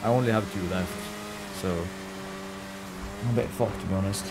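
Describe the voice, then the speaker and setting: A man's voice: fairly low pitch, steady tone, moderate pace, gravelly, over loud music. A man from Norway with a Norwegian accent, chatting casually and swearing freely as he streams a video game. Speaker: live streamer. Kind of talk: casual gaming livestream commentary, chatting with viewers. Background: game ambience with vehicle sounds.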